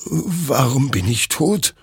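A man's voice: high voice, measured pace, a bit bright, husky, dry recording, clean studio sound, voice pushed up, exaggerated voice. A man voicing a battle-weary, frightened but defiant soldier in an audio story, agitated and pleading. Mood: desperate.

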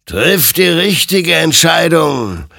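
A man acts out an all-knowing phantom horseman for a dark story. He speaks slowly and solemnly with a husky edge, passing judgment like a harbinger of death. The pitch swings widely. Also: wildly swooping pitch, dry recording, clean studio sound, exaggerated voice. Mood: solemn, commanding. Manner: solemn, accusing, slow pronouncements.

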